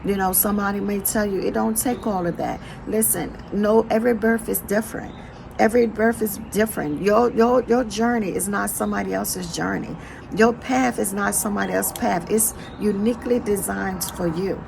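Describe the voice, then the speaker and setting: A hoarse, straining woman giving an inspirational faith talk, repeating "right?" after her phrases. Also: mid-range voice, normal speed, background noise. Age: adult with children. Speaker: motivational speaker.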